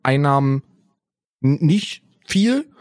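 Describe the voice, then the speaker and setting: A man's voice: fairly high pitch, sing-song, takes it slowly, darkish tone, no echo. A man co-hosting a podcast in casual, slangy, jokey banter, recorded close to the microphone. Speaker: podcast co-host.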